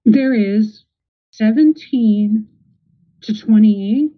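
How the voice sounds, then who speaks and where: A woman's voice: a little high, very slow. A woman hosting a podcast, interviewing casually with supportive affirmations. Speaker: podcast host. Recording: tinny phone-like audio.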